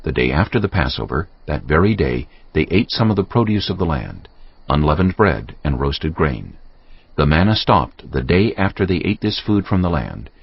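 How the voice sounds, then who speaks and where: A man's voice: a bass voice, sing-song, moderate pace, breathy edge, no room sound. A man giving scripted narration for a dramatized audio Bible. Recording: dull audio, music underneath.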